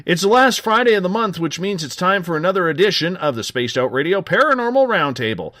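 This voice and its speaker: A man's voice: sits high, sing-song, quick pace, pushed and bright, no echo. A man hosting a live late-night radio talk show, giving upbeat, joking promotional patter. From Canada.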